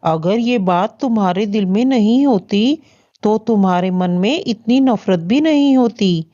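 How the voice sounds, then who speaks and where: A woman voicing a kind, wise father bird in a cartoon, soothing and gently reproving. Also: medium pitch, unhurried, lightly acted. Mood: reassuring. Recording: dull, muffled lo-fi audio.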